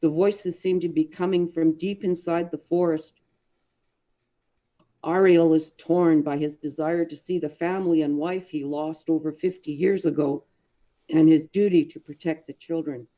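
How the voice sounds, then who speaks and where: A woman narrates a story in a dark, soft-edged voice. Her reading is expressive and deliberate, with dramatic phrasing. The recording is somewhat dull and lo-fi. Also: low-pitched. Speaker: audiobook reader.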